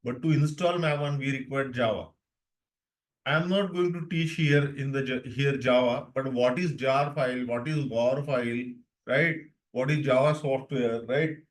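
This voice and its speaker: Young indian guy doing an online tech lesson, repeats stuff, keeps asking if everyone's following. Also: mid-range voice. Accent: Indian English. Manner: repetitive, checks in with students often. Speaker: DevOps instructor.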